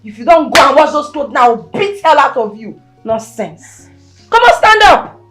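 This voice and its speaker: Bullying wife, played by a woman; high, shrill scolding, echoey room sound. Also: expressive, normal speed, fairly bright tone, faint music behind. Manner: scolding, insulting, repeated angry questions. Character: bullying jealous wife, loud and threatening. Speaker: actress in a domestic skit.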